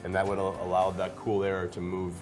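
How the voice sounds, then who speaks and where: A man in his thirties with a fairly low voice shows visitors around in a casual, rambling way. He speaks informally into a lapel mic, with some music playing behind him. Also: completely flat delivery, fairly quick. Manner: informal, rambling, hedging with "kind of". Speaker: brewery representative giving a tour. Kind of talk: casual interview tour. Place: indoors in brewery rooms and stone cellar.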